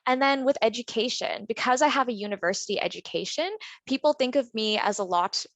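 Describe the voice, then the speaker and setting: A woman's voice: a little high, fairly quick, fairly bright tone, dry recording. A woman with a Canadian accent leading a workshop, explaining ideas earnestly with the odd "um" and "kind of.". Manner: earnest and explanatory, some fillers. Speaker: workshop facilitator. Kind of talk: presenting a workshop.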